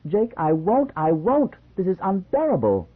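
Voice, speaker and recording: Brief: male narrator puts on a tearful, stubborn young wife, high and pleading, lo-fi phone-like audio. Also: unhurried, breathy edge. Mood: defiant tearful. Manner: pleading, shrill when upset. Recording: tinny phone-like lo-fi sound.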